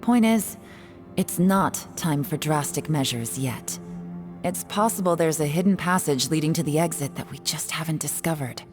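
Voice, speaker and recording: An actress playing a cool, shrewd strategist in a video game, measured and sardonic. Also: female voice, deep voice, music in the background, lightly acted. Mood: measured reasoning. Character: cool, shrewd adult female strategist, skeptical.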